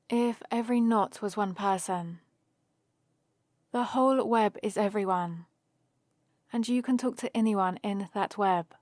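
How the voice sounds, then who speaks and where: A woman's voice: on the high side, a little mellow, dry recording, clean studio sound. A woman playing a nerdy, cheerful young heroine in an ASMR roleplay, rambling in a friendly, explaining way to the listener.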